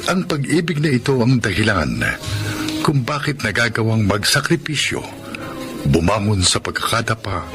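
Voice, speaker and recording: A man hosting a radio drama, reading a scripted narration in a solemn, deliberate announcer style. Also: lowish voice.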